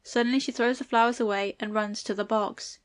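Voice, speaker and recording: A woman's voice: on the high side, steady tone, brisk. A woman reading stage directions as the narrator of an audiobook play.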